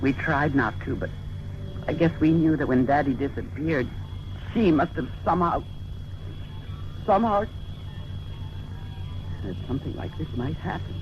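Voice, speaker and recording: Tearful grieving young woman, wildly swinging pitch, soft, dull old radio recording. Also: background noise. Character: grief-stricken young woman confessing.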